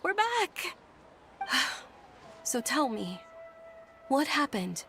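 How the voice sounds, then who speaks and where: An actress playing a well-bred, caring young noble lady in a video game, with a polite, gentle, articulate manner. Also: high voice, big pitch swings, extremely slow, gravelly, heavily acted. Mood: concerned, thoughtful. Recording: faint game music behind the voice.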